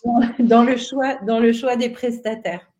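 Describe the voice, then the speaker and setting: A woman's voice: fairly high pitch, fairly even intonation, a little slow, dark tone. A woman from northern France speaking on a webinar panel, explaining things earnestly with frequent hesitations. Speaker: panelist, self-employed business owner.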